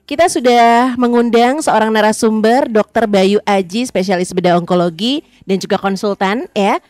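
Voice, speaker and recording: A woman's voice: mid-range voice, moderate pace, darkish tone, no echo. A woman hosting a radio talk show in a chatty, upbeat, friendly way. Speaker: radio host.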